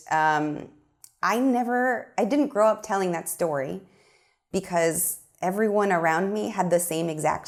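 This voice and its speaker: A young adult woman filmmaker from Miami, speaking earnestly and thoughtfully in a studio interview, with frequent ums. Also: deep voice. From Miami, USA. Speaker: filmmaker guest. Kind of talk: interview conversation.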